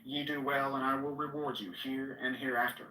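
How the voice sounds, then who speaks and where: A man's voice: medium pitch, little pitch movement, brisk, bright, forceful tone, a little hiss or hum. A man giving a solemn, declamatory reading of archaic mystical scripture. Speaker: reader. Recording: echoey room, distant mic.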